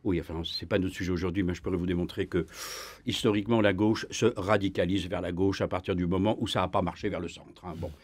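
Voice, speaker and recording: A man speaking as a historian guest in a radio debate, emphatic and full of restarts, his pitch rising when he presses a point. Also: steady tone, fast, dry recording. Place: radio studio.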